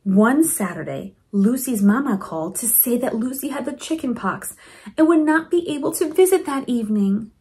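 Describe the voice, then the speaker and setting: A woman reading a storybook aloud to children in an expressive, encouraging way, with teaching asides. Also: on the high side, moderate pace. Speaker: principal reading a picture book to children.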